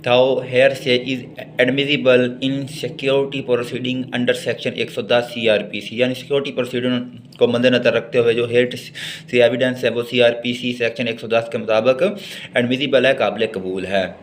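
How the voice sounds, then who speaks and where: A man's voice: mid-range voice, little pitch movement, a little slow, slight background noise. A man with a Pakistani accent lecturing on law, mixing Urdu and English as he reads out and explains.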